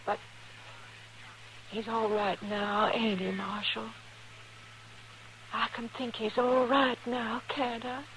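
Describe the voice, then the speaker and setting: An older, weary frontier mother with a fairly high, cracked voice and a rural Western American accent. She is plaintive, protective and resigned. The recording is a noisy vintage radio western. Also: level tone, takes it slowly, long pauses, a bit bright. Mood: grieving, resigned. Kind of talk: old-time radio western acting.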